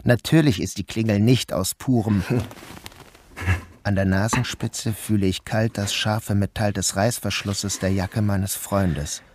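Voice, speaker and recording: A man playing an anxious, guilt-ridden narrator who tells his own ordeal in a measured, deliberate radio drama style. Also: on the low side, fairly even intonation, darkish tone, a little hiss or hum, close to an everyday voice. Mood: oppressed, introspective. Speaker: narrator and lead actor. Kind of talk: literary first-person radio drama narration.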